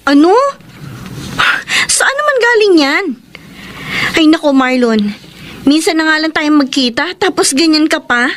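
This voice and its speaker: A woman's voice: high voice, lively intonation, measured pace, pauses often, a bit bright, slight background noise. A woman playing a stubborn young woman in love in a Filipino radio drama, emotional and protesting, with a Filipino accent. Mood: indignant, pleading.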